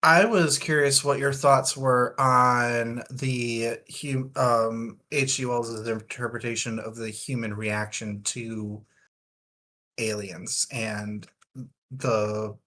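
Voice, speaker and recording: A man's voice: fairly low pitch, sharp tone. A man talking through ideas in a thoughtful, discursive way in a casual book discussion.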